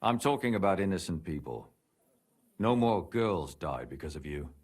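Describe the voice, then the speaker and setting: Really deep husky medieval lawman in a video game, serious and full of regret. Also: very animated, normal speed, darkish tone, heavily acted. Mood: remorseful, earnest. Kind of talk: video game voice acting, dramatic dialogue.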